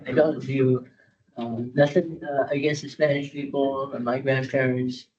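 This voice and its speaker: Mellow-voiced man chairing a board meeting. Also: level tone, unhurried, recorded from a distance, slight background noise. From Cuba. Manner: hesitant, many filler sounds. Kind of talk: public board meeting, reading a proclamation. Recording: dull low-fidelity meeting audio.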